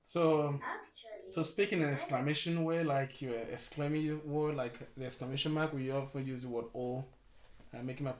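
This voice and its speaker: A man's voice: mid-range voice, fairly even intonation, moderate pace. A man with a Nigerian accent patiently explaining local sayings while reacting to a show, laughing often. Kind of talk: casual explanatory chat. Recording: echoey room with crowd noise behind.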